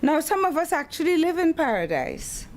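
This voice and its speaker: A woman in her sixties from the English-speaking Caribbean, giving a formal academic talk with personal tributes and animated storytelling. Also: sits high, moderate pace, a little hiss or hum. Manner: animated storytelling with acted-out quotes. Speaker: guest lecturer.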